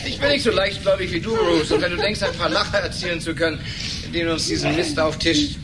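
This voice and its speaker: A man's voice: sits high, fast talker. An actor in a German radio play playing an indignant, sarcastic man who is protective of his friend. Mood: mocking. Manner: animated, sarcastic. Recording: very noisy 1980s radio recording.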